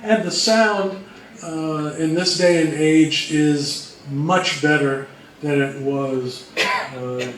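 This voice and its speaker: A man giving an informal explanatory presentation to a room, speaking emphatically in short, measured phrases. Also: a little high, steady tone, a bit bright. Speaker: co-presenter at an old-time radio convention talk. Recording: echoey, distant, faintly noisy live recording.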